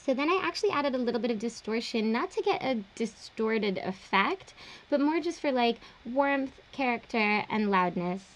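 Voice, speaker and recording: A young woman producer casually walking through her vocal processing in a home studio, relaxed and chatty with plenty of fillers. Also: a little high, moderate pace, background noise. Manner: relaxed, explanatory, uses fillers like um and like. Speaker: music producer. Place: small home studio indoors.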